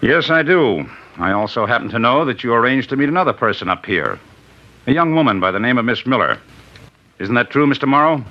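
An actor playing a stern male district attorney who presses a suspect hard with firm, steady questions. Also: medium pitch, fairly quick. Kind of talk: 1950s radio crime drama acting. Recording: dull old radio broadcast recording.

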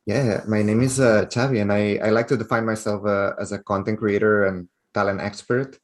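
A man's voice: lowish voice, flat delivery, normal speed. A man from Spain with a Spanish accent, a guest on a podcast interview, speaking with frequent fillers and restarts. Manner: hesitant, many fillers and restarts.

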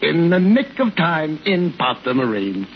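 An actor playing a cocky, flippant husband who takes danger for a prank, in 1950s radio drama style. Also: male voice, on the high side, very animated, unhurried. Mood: mocking disbelief. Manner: flippant, slangy. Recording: muffled, noisy 1950s radio recording.

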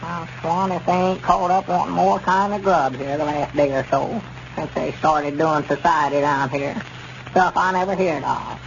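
An actor playing a folksy, easily puzzled old country storekeeper with a rural Southern accent in a 1930s radio comedy. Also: male voice, on the high side, fairly even intonation, normal speed, creaky. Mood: grumbling. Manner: homespun, plain-spoken, grumbling. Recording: dull, noisy 1930s radio recording.

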